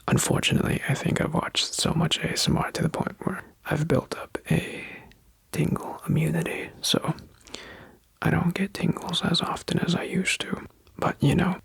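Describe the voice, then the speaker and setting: Young adult ASMR whisperer, breathy husky whisper, slow, dry close sound. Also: medium pitch, dry recording, poor audio. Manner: soft unhurried whisper with fillers. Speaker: new ASMR creator.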